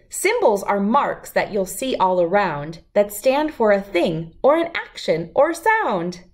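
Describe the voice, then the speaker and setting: A middle-aged woman reading a rhyming picture book aloud to children in an expressive, deliberate, lilting way. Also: mid-range voice. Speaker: children's read-aloud host.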